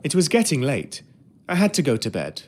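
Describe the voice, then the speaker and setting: A polite ship's steward in a period adventure game, telling his memories in a measured, reflective way. His voice is slightly high and melodically varied. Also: wildly swooping pitch, a little mellow. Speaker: actor in a narrative adventure game. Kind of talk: scripted first-person period narration.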